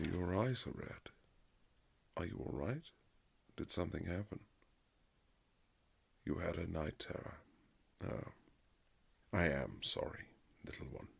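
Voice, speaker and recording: A man playing a courtly Regency nobleman husband, tender and gently teasing, in a husky romantic roleplay with formal, old-fashioned phrasing. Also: slight background noise, exaggerated voice. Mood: gentle, concerned. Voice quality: very low, husky, gravelly. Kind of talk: romantic boyfriend ASMR roleplay, period drama.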